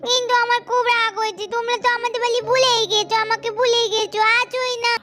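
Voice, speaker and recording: A woman voicing a sweet, demanding little girl in a children's doll cartoon, excitable and whiny, repeating words insistently. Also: extremely high pitch, fast, voice pushed up. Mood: happy, pouting.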